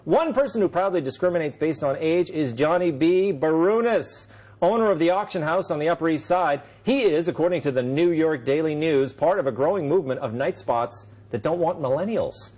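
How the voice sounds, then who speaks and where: A male late-night host speaking through a lapel mic in a TV studio. His voice sits high and swoops around a lot, with a husky edge. He reads his opening with dry sarcasm. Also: lively intonation, a little fast, darkish tone. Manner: wry, sarcastic delivery. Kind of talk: scripted monologue then panel banter.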